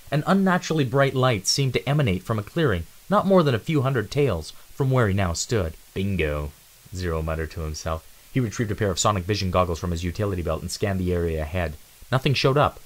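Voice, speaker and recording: A man with a low-pitched voice reads as a storybook narrator. His delivery is dramatic, shaped like an adventure tale read aloud. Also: poor audio.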